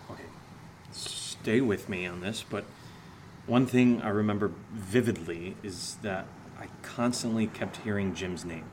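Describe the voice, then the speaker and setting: An actor as a low-voiced, sardonic, shaken man. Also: a little mellow, slight background noise, natural voice. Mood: earnest, determined. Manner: hesitant, halting, sarcastic. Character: sardonic, shaken man named Steve, joking through fear. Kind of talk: naturalistic horror audio drama acting.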